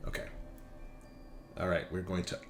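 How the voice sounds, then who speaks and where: A man's voice: low voice, completely flat delivery, moderate pace, soft background music. A man running a tabletop roleplaying game as game master in a casual, conversational style.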